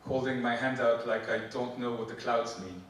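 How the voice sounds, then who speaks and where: A man in his forties with a Polish accent, performing spoken word poetry and joking between poems in halting, filler-heavy banter. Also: mid-range voice, steady tone, slight background noise. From Poland. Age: 40s. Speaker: performance poet. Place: theatre stage before a live audience. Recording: echoey hall, mic from a distance. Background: audience laughter.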